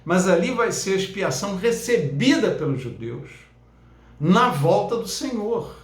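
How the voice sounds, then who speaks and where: A man's voice: high-pitched, sing-song, a little slow, reverberant. A man teaching a Bible lesson as a pastor, talking conversationally with frequent fillers and tag questions.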